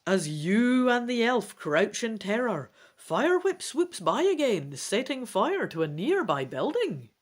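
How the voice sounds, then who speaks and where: A woman's voice: on the high side, huge pitch swings, slow, hardly any pauses. A woman hosting a gamebook playthrough, reading dramatically and adding informal, self-deprecating asides. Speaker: host of a gamebook let's play.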